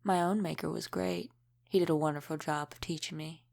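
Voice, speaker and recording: A woman playing a centuries-old, world-weary vampire mentor in an audio roleplay, speaking in a measured, matter-of-fact, lightly wry way. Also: low voice, steady tone, vocal fry, darkish tone. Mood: reminiscing, matter-of-fact. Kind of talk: scripted audio roleplay, addressed to the listener.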